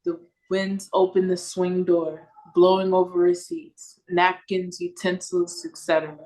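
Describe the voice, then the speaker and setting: A woman's voice: on the low side, level tone, unhurried, warm tone, low-quality recording. A woman reading scripted stage directions for an audio play over a video call.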